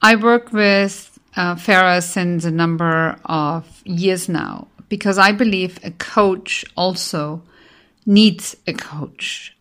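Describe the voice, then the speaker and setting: A woman with an Austrian German accent speaks with earnest enthusiasm, praising her coach. Her voice sits a little low, and she pauses now and then on small fillers. She sounds like a counselor recommending someone she trusts. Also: fairly bright tone. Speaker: life coach and counselor giving a testimonial. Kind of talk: enthusiastic client testimonial.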